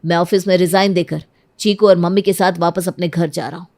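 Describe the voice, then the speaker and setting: A woman's voice: fairly low pitch, steady tone, fairly quick, a little mellow, close to an everyday voice. A woman playing a hurt, resolute young husband and father in a cartoon family drama, stern and reproachful. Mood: angry, resolute.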